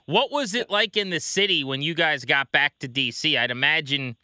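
A man's voice: on the high side, normal speed, sharp tone, no room sound, studio quality. A man hosting a sports podcast, interviewing in a casual, conversational way.

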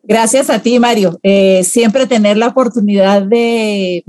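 Mellow-voiced woman in her fifties. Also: mid-range voice, steady tone, unhurried, no echo. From Venezuela. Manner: reflective, speaks with fillers. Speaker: guest, public relations consultant. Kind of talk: podcast interview.

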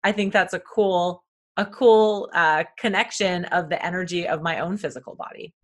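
A loud-voiced woman hosts a casual podcast and tells personal stories in an open, chatty way. Her pitch sits on the lower side for a woman. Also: normal speed.

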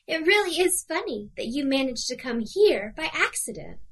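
A man voicing a gentle young female bunny in a soft, kindly manner for a children's story. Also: very high-pitched, big pitch swings, moderate pace, creaky, voice pushed up, full character voice.

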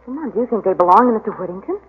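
A woman's voice: medium pitch, lively intonation, racing, natural voice. A woman playing an uneasy, emphatic society lady in a 1940s radio drama. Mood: frightened, insistent. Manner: nervous, emphatic. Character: uneasy, candid young society lady companion. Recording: tinny, hissy 1940s radio recording.

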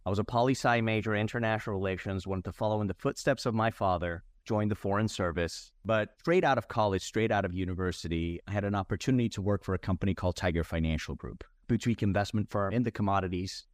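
A man's voice: fairly low pitch, fast, few pauses, no room sound, clean studio sound. A man around forty, from Turkey with an American accent, telling his career story conversationally as an interview guest. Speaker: podcast guest, finance executive.

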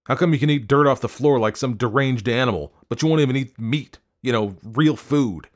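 Audiobook husband, played by a male narrator: domineering, irritable germophobe, emphatic syllables, swinging pitch. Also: expressive, fairly quick. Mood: bitter, angry. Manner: shouting, hitting syllables hard. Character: angry, germ-phobic, domineering husband.

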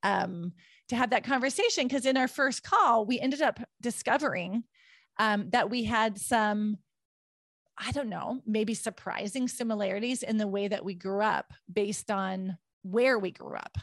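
A woman hosting a podcast interview, upbeat and quick to laugh, speaking in fast bursts. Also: mid-range voice, gravelly, a bit bright, no echo. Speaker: podcast host.

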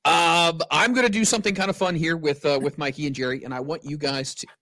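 A man's voice: on the high side, steady tone, fast talker, vocal fry, bright, forceful tone, slight background noise. A man hosting a casual podcast chat, joking around and asking his guests questions. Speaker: podcast host.

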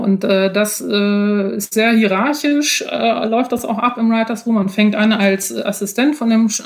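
A woman's voice: mid-range voice, a little mellow. A woman giving frank, explanatory answers in a conversational interview. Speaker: guest, screenwriting and audio drama author.

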